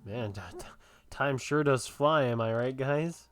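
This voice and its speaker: A man's voice: mid-range voice, measured pace, fairly bright tone, smooth, soft background music. A man giving casual, joking gameplay commentary in a laid-back way, drawing out his words. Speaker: gamer.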